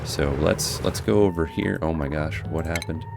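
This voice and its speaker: A hoarse man casually talking through his gameplay as a guide host, thinking aloud as he plays. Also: rumbling low, normal speed, background noise. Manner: thinking aloud, relaxed, restarts. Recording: music playing behind.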